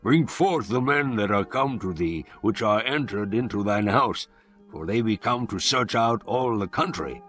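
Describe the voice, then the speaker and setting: A man's voice: fairly low pitch, lively intonation, a little slow, a bit bright, faint music behind. An actor playing a demanding messenger of an ancient king, formal and commanding, in a scripture dramatization. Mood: stern, demanding.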